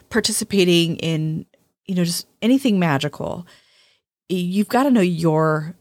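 A woman's voice: on the low side, dry recording, clean studio sound. A woman co-hosting a casual podcast chat, talking freely, laughing often and repeating words as she gathers her thoughts. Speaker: podcast co-host.

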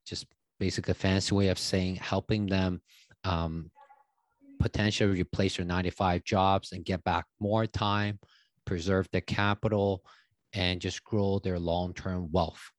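Man in his thirties, low voice, dry close sound, halting with fillers. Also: level tone, no echo. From Taiwan. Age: 30s. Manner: loose, halting, many fillers. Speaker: guest, stock options coach. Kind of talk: casual interview talk.

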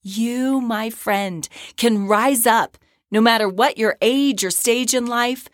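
A woman hosting a devotional podcast, earnest and encouraging, her voice hoarse and scratchy from a cold. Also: fairly high pitch, slow pace, dry recording, studio quality. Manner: earnest and encouraging, with short breathy laughs. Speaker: podcast host and ministry founder. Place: podcast studio.

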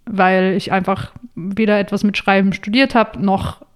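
A young woman, a guest on a podcast, answering interview questions in a friendly way and explaining things clearly and at length. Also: mid-range voice, little pitch movement, normal speed. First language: not English. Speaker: podcast guest, online writer.

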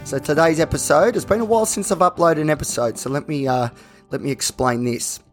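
An Australian man with a slightly croaky voice, hosting a solo podcast in a casual, candid, rambling way. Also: fairly high pitch, a little fast, faint music behind. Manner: conversational, rambling, self-correcting. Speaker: podcast host.

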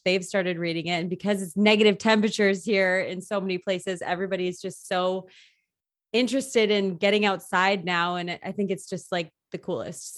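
Enthusiastic woman hosting a podcast. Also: lowish voice. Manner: gushing, enthusiastic. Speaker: podcast host. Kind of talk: casual upbeat interview chat.

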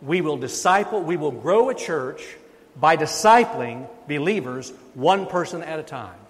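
Male pastor, sermon delivery, slightly high voice, emphatic peaks, churchy reverb. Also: lively intonation, a little slow. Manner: emphatic, rises in pitch and volume on key points. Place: church sanctuary. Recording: long reverb of a church sanctuary.

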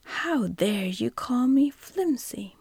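A woman's voice: fairly high pitch, lively intonation, takes it slowly, creaky, darkish tone, husky, no echo, voice pushed up, exaggerated voice. A woman voicing a touchy, easily offended wooden man with a pumpkin head, in a whispered storybook reading. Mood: indignant. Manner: whispered, indignant.

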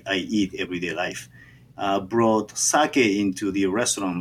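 Japanese-accented male interviewee, lower pitch, hesitant and halting delivery. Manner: halting, many fillers and restarts. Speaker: sake brewer. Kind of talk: interview answers.

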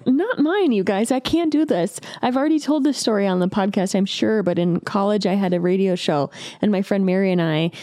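A woman's voice: mid-range voice, a little fast, hardly any pauses, warm tone, dry recording, clean studio sound. A woman hosting a podcast, chatting casually with friends in a jokey, self-deprecating way. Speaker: podcast host. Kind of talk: casual chat among friends.

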